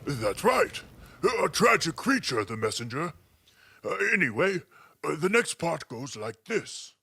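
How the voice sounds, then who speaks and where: An actor voicing a sleepy, kindly storyteller creature with a hesitant, genteel, musing manner, in an audio drama. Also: male voice, a little high, very animated, unhurried, creaky, pushed and bright, slightly rough, no echo, exaggerated voice. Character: Yuben Kai, big-nostriled sleepy kindly male storyteller creature.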